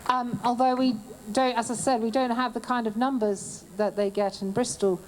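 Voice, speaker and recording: A woman compering an outdoor protest rally, introducing speakers in a casual, hesitant way with many pauses. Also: a little high, steady tone, a little mellow, a little hiss or hum, low-quality recording.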